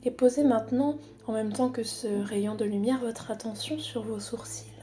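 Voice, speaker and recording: A woman guiding a body scan meditation in a calm, unhurried, soothing manner with long pauses. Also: fairly high pitch, faint music behind. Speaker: psychologist guiding a relaxation exercise.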